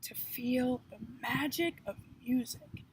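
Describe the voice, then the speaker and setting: A woman voicing a courteous, contemplative blind man with a gentle, courtly way of talking, in a storybook reading. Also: high-pitched, slow pace. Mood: hushed, dreamy. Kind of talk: children's picture book read-aloud. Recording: noticeable background noise.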